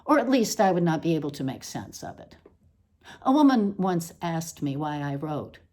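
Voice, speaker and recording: A woman giving a deliberate, expressive literary reading of an essay, with pointed emphasis on the words. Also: sits low. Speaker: reader. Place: at home.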